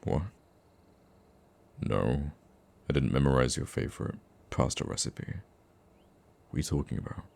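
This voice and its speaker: Man acting a very deep, gravelly soft-hearted villain. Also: a little slow, pauses often, heavily acted. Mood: soft, amused. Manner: dry, grumbling, affectionately teasing. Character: gruff, teasing supervillain with a secret soft side. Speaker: ASMR roleplay voice actor. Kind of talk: intimate ASMR roleplay acting.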